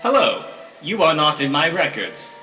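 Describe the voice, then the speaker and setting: A man's voice: a little high, very animated, normal speed, pushed and bright, reverberant, recorded from a distance, soft background music. A man voicing a polite, literal robot archivist with formal, precise, clipped speech.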